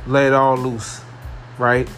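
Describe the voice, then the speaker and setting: A man hosting his own podcast, talking earnestly and repetitively about positivity, with a carrying voice that rises into excited emphasis. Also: medium pitch, slow, faint music behind. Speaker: podcast host. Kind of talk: motivational solo podcast monologue.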